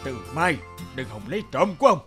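A man voicing a stingy, shouting old miser. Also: on the high side, sing-song, a little fast. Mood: angry. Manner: shouting, scolding. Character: stingy greedy old village man, bad-tempered. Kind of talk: cartoon dubbing. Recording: loud music under the voice.

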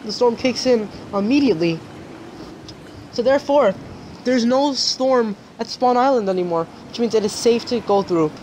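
A sick gamer with a sore throat gives a raspy, rambling walkthrough of a game glitch. The voice sits at a middling pitch and carries a faint hiss of background noise. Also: animated, moderate pace, a little mellow, rough recording. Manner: rambling, chatty explaining. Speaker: gaming channel host. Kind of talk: gameplay tutorial commentary.

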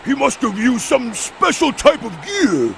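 A hulking, slow-witted albatross thug with a rough gravelly voice that stumbles over its words. He talks slowly and swoops high and low in pitch. Loud game music plays behind him. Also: sing-song, slight background noise, full character voice. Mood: flustered. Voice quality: gravelly, stammering. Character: big dim-witted male albatross henchman. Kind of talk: video game cutscene acting.

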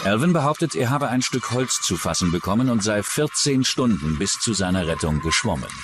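A man's voice: lowish voice, sing-song, fairly quick, noisy background. A man reading scripted true-crime documentary narration.

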